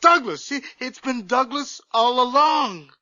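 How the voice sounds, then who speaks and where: A haunted man in an old radio horror play, tormented and halting. His voice is high and sharp, slow to get words out, on a dull recording. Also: expressive, no room sound, exaggerated voice. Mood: desperate. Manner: halting, stammering. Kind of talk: radio horror drama acting.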